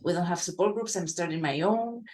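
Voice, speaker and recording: A woman interpreting live on a video call, quick and helpful. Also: on the low side, darkish tone, slight background noise.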